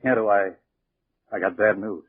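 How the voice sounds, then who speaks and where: An actor playing a gruff, plain-spoken bush pilot in a 1940s radio drama, talking colloquially with hesitant pauses. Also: male voice, lowish voice, steady tone, no echo. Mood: grim. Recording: very noisy, tinny 1940s radio recording.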